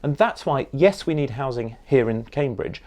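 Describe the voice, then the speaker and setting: A man's voice: medium pitch, lively intonation, moderate pace, a little mellow. A man answering as a parliamentary candidate, leaning on key phrases for emphasis. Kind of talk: hustings answer, political speech.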